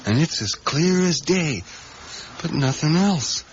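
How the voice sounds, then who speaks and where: An actor playing a haunted, obsessive diner worker in a radio drama, with folksy, plain-spoken rural speech and hesitant, repetitive, intense storytelling. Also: male voice, fairly high pitch, animated, slow. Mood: hushed, entranced. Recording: dull, noisy 1980s radio recording.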